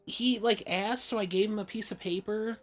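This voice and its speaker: A woman voicing an awkward, puzzled young adventurer in an improvised comedic fan dub of a fantasy game, speaking casually and haltingly. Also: medium pitch, steady tone, natural voice. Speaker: amateur live fan-dub voice actor. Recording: background music under the voice.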